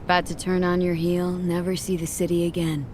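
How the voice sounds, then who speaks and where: An actress playing a tough, weary mercenary who speaks in a terse, dry, streetwise way. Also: female voice, lowish voice, completely flat delivery, a little fast, noisy background, close to an everyday voice. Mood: wry. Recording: game audio with music behind. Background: music.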